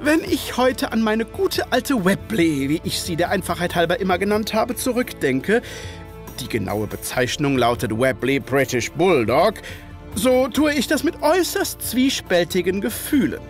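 High-pitched, animated gentleman narrator. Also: normal speed, soft background music. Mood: reminiscing. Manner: formal, expressive storytelling. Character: Dr. Watson as narrator, gentlemanly, wordy memoirist. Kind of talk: scripted first-person narration in radio play.

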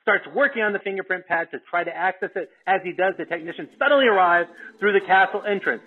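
A man's voice: high-pitched, fast, husky. A man reading script directions aloud at a live table read, informal, with asides. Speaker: stage-direction reader. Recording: dull, lo-fi sound, faint music behind.

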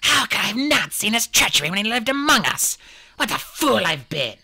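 A man voicing an anguished, aging female cat clan leader in a storybook reading, with a raspy, breathy, hissing delivery. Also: sits high, wildly swooping pitch, sharp tone, pitched above the performer's own voice, exaggerated voice. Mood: bitter self-reproach. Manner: slow, hissing, muttering delivery.

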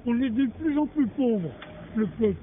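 A man's voice: very high voice, expressive, moderate pace. An older man answering a street interview outdoors, talking bluntly and with indignation into a handheld mic. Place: outdoors by a street car park. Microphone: handheld mic held by interviewer. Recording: phone-like sound, street noise.

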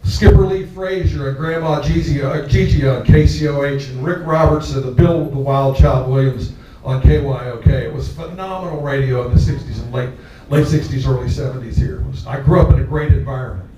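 A man's voice: mid-range voice, fairly quick, dark tone, muffled recording. An older man from Texas giving an award acceptance speech on stage, telling stories in a jovial way with a handheld microphone. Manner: jovial, storytelling, laughs along with the crowd. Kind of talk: anecdotal speech to an audience. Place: large hall, on stage. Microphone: handheld mic, filmed from far off. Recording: echoey hall, far from the camera.

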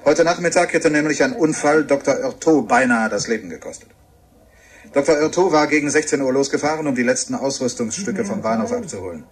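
An actor playing an earnest radio reporter giving a measured live report in a radio drama. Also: male voice, mid-range voice, slight background noise, close to an everyday voice. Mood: grave reporting. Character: earnest male radio reporter at an accident scene.

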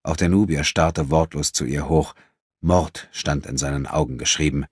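Ein Mann, der als Hörbucherzähler einen literarischen Text dramatisch vorliest. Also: male voice, very deep voice, fairly even intonation, moderate pace, breathy edge, no echo, studio quality. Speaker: audiobook narrator. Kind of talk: dramatic scripted literary narration.

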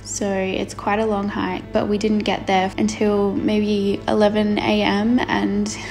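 Chatty young woman with a flat voice. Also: mid-range voice. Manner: chatty, laughs often, relaxed. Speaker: artist narrating a painting video. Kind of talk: casual voice-over storytelling. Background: faint background music.

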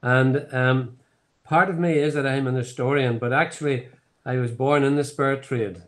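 A man's voice: medium pitch, steady tone, moderate pace, fairly bright tone. A man in his seventies with a Northern Irish accent, a distillery founder telling his story in a rambling way with many fillers, on a video call from a home office. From Northern Ireland. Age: 70s. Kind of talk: online tasting conversation. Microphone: laptop webcam on video call.

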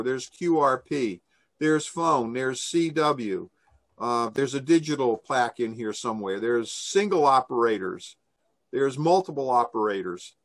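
A man's voice: mid-range voice, a bit bright. Older man presenting informally. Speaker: presenter giving a briefing. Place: small room indoors, on a video call. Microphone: video call microphone.

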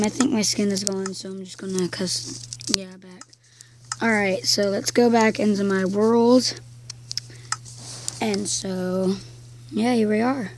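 A woman with a croaky voice giving casual gameplay commentary, slowly counting blocks aloud as she builds. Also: medium pitch, a little mellow, slight background noise. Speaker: game video creator.

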